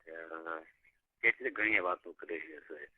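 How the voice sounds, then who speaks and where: A man's voice: very deep voice, takes it slowly, husky. A man chatting casually and privately on a phone call. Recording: muffled tinny phone call recording.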